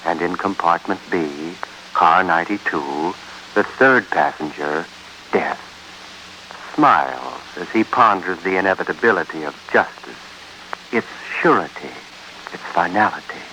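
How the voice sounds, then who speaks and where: Low-voiced male radio suspense narrator. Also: sharp tone, a little hiss or hum, low-quality recording. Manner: solemn, dramatic, deliberate. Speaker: host and narrator. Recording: vintage 1950s radio recording.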